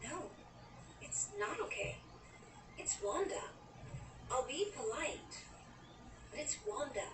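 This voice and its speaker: A woman's voice: high voice, animated, takes it slowly, long pauses, roomy sound, far from the mic, background noise. A woman playing a young, wry New Yorker grieving a friend, in an audio drama that mixes dialogue and narration. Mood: wry, tense. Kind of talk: audio drama acting with first-person narration.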